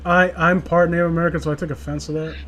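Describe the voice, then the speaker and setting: Higher-pitched man, sarcastic and profane. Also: little pitch movement, fast talker, a crowd in the background. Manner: sarcastic, profane, interrupts own reading with asides. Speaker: host reading a horror story aloud with a friend. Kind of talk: casual joking read-aloud commentary.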